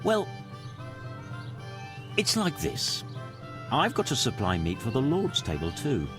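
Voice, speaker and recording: An actor playing a frank, shrewd, folksy medieval livestock dealer in a video game. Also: male voice, medium pitch, wildly swooping pitch, slow, music in the background. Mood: frank haggling. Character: male medieval livestock supplier, frank, shrewd village tradesman. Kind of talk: video game cutscene acting.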